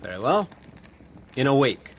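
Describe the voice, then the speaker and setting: An actor playing an upright young gentleman in a period radio drama, speaking clipped, formal, insistent upper-class English. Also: medium pitch, big pitch swings, a little slow, a little hiss or hum, low-quality recording. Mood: cool, formal. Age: young adult. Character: indignant, upright young English-sounding gentleman, a lord's son.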